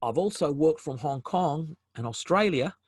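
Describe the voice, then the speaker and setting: A man's voice: a little high, animated, normal speed, darkish tone, slight background noise. A man with a South African accent presenting a business webinar over a video call, often repeating words and restarting phrases as he goes.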